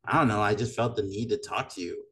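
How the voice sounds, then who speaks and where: A man's voice: fairly low pitch, fast talker, fairly bright tone. A man from Madagascar hosting a podcast, chatting casually and laughing easily. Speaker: podcast host. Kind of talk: casual chat.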